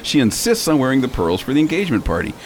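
A man's voice: medium pitch, big pitch swings, quick pace, a little hiss or hum, natural voice. A man playing a jovial, indulgent wealthy fiancé in a radio drama, affectionate in manner. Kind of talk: amateur radio drama acting.

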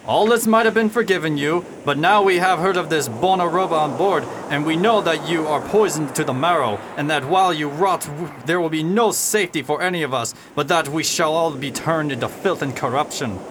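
An actor playing a rough pirate deckhand with blunt seafaring dialect in a radio drama. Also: male voice, a little high, brisk, few pauses, background noise, natural voice. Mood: accusing.

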